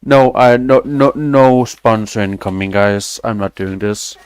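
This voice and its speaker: A man's voice: lowish voice, a little slow, darkish tone, smooth, no echo. A young man, chatting loosely on a stream, rambling and swearing casually with self-deprecating asides. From Denmark. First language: Danish.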